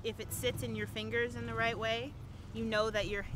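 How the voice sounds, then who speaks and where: A young woman, a long drive golfer, answering interview questions outdoors on a golf course in a bubbly way, laughing often and saying "like" a lot, recorded with a boom mic. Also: level tone, normal speed, background noise. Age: young adult. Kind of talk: casual promotional interview. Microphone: boom mic overhead.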